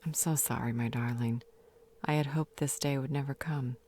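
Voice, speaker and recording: A woman playing a grieving, tender fae mother in an audio drama, sorrowfully confessing her regrets in halting, half-whispered speech. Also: extremely low pitch, steady tone, dark tone, a little hiss or hum. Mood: sorrowful, apologetic. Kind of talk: dramatic audio roleplay monologue.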